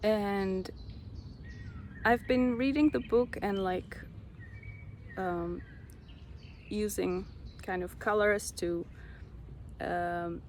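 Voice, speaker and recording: A young Czech woman with a Czech accent, slowly sharing a personal spiritual story with many pauses. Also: mid-range voice, steady tone, a little hiss or hum. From Czech Republic. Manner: slow, reflective, pausing often. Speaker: vlogger.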